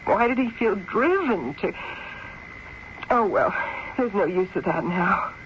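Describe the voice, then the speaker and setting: A woman's voice: mid-range voice, expressive, slow. An actress playing a fragile, innocent young widow from the East in an old radio drama, ladylike, hesitant and proper. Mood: sorrowful, fragile. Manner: ladylike, hesitant, wide-eyed, proper diction. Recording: dull, noisy old radio broadcast.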